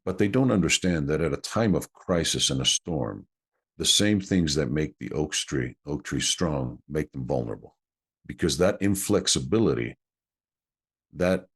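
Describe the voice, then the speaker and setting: A man's voice: extremely low pitch, animated, normal speed, husky. A man whose first language is Greek, an executive coach answering interview questions in an earnest, explanatory way.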